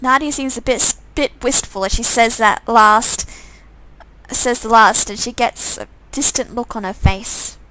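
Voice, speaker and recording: A woman's voice: fairly high pitch, unhurried, bright, forceful tone, no room sound, poor audio. A woman casually reading a visual novel aloud as a live streamer, dropping murmured asides between the lines.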